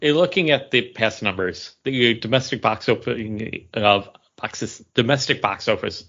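Halting man on a muffled podcast. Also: fairly low pitch, fairly bright tone. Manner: halting, hesitant delivery. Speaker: podcast co-host. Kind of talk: casual podcast chat. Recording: slightly muffled, lo-fi audio.

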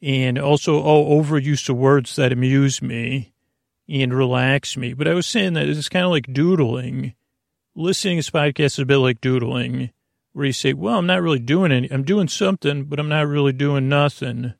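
Gravelly, creaky man rambling for bedtime. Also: mid-range voice, no room sound, clean studio sound. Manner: meandering, halting, self-interrupting tangents; whimsical, self-deprecating humour. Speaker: podcast host. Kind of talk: rambling bedtime sleep podcast monologue.

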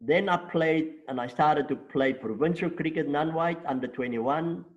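A man's voice: on the high side, moderate pace, lo-fi sound. An older man in his sixties with a South African accent, a native Afrikaans speaker, telling his life story at length in an interview and checking in with rhetorical questions. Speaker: interview guest.

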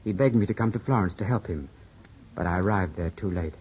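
An actor in a 1950s radio drama playing an earnest, brooding young gentleman, formal and intense in delivery. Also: low-pitched, a little fast, breathy edge. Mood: earnest confession. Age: young adult. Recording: dull, muffled old radio recording.

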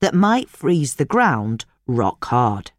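Female narrator, very low register, lively melodic reading of scripted practical advice, dry sound. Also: slow, no room sound. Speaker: narrator reading a gardening article.

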